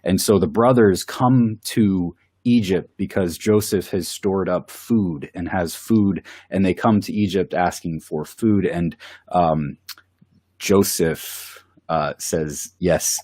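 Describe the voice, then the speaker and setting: Deep-voiced man with a cold. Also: slow pace, a little mellow, dry recording, studio quality. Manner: enthusiastic, with filler words and laughs. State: has a cold. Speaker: bible study co-host. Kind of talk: casual chat.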